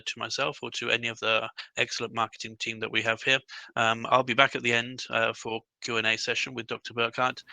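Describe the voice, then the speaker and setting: A male lecturer presenting on a webinar, with frequent pauses and "uh". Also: mid-range voice, creaky, pushed and bright, no room sound, low-quality recording. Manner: halting, with frequent "uh". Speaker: program lecturer.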